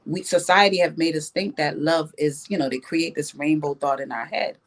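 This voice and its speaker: A woman from Jamaica talks through her views in a podcast conversation. Her voice sits slightly low for a woman, and she leans on key words, repeating them for emphasis. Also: moderate pace. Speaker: podcast guest. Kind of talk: opinionated podcast discussion.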